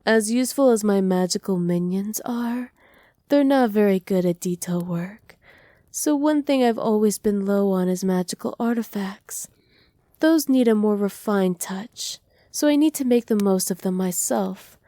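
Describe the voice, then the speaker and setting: A woman voicing a doting, possessive evil witch in an intimate ASMR roleplay, speaking softly and teasingly, often slipping into breathy whispers. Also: medium pitch, measured pace, no room sound, studio quality, close to an everyday voice. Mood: smug, satisfied. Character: doting, possessive evil witch pampering her captive pet. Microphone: close microphone, dry.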